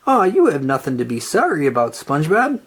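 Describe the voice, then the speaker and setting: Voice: mid-range voice, huge pitch swings, a little fast, breathy edge, heavily acted. A storybook reader voicing a cheerful, encouraging, folksy female cartoon animal. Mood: reassuring. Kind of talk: children's storybook character read-aloud.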